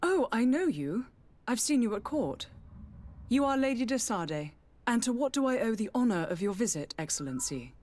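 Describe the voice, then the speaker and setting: A woman's voice: fairly high pitch, big pitch swings, normal speed, a little mellow. A woman playing a haughty, guarded noble lady in a period fantasy video game, formal and easily indignant. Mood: polite, formal.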